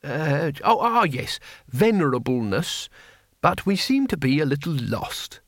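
A polite, bumbling old man, acted by a male narrator, slow and halting as he gropes for each word. His pitch swings in a lively way, dry and close, in fantasy audiobook style. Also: dry recording, exaggerated voice. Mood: apologetic. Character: courteous, bumbling goat-faced old man, stumbling foreign speaker.

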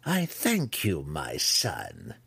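A man voicing an imperious, scheming, cruel dark elf matriarch in a fantasy audiobook, condescending and wry, drawing out the words. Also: rumbling low, huge pitch swings, gravelly, slightly rough, no room sound, voice pushed down, exaggerated voice. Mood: false warmth. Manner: condescending, wry, drawn-out delivery.

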